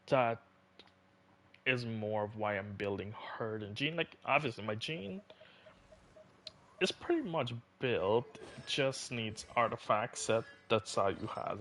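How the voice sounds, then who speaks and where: Low-voiced man streaming a game. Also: fairly bright tone. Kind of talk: casual live gameplay commentary. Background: game music behind the voice.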